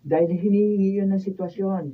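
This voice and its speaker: Woman playing a husky, principled mother, tinny and distant. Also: medium pitch, fairly even intonation, a little fast, close to an everyday voice. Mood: firm reproach. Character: kindhearted, principled wife and mother who helps the poor. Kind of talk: Filipino radio drama acting. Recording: thin phone-like sound, distant microphone.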